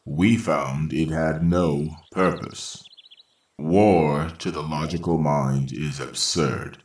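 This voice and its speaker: A man acts as a composed robot caretaker in a radio play, with a low voice that rings in a roomy echo. The phrasing is formal, logical and very unhurried. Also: darkish tone. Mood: calm, explanatory. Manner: measured, formal, matter-of-fact. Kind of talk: old-time radio science fiction acting. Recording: roomy, echoing voice.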